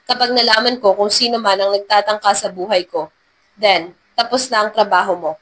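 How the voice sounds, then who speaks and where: A woman's voice: medium pitch, fairly even intonation, brisk, fairly bright tone, close to an everyday voice. A woman voicing a wealthy male CEO in a romance story, earnest and apologetic, mixing in English phrases. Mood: pleading, remorseful. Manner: earnest, code-switches into English. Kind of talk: dramatized romance story narration.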